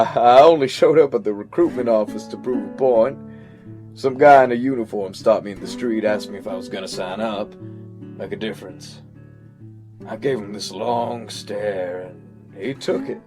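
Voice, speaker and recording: A man's voice: lowish voice, natural voice. Man acting a plain-spoken war veteran. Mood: amused, reminiscing. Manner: reflective, conversational, halting pauses, plain-spoken. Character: weathered American army veteran, once a hot-tempered youth, earnest. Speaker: actor in a fiction audio drama. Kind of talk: dramatic first-person monologue, audio drama.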